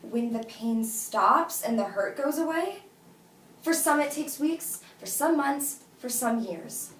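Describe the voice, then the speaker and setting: An actress playing an exasperated but fond woman gently turning down her best friend, in naturalistic, hesitant film dialogue. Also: sits high, animated, slow. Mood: wounded. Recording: roomy, distant mic with faint noise.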